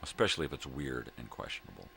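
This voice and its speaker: A middle-aged man with a gravelly voice, rambling casually and folksily as he hosts a morning vlog, often repeating himself. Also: lively intonation, fast talker, bright, forceful tone. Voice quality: gravelly, very deep. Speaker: host of a daily vlog. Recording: clear background room noise.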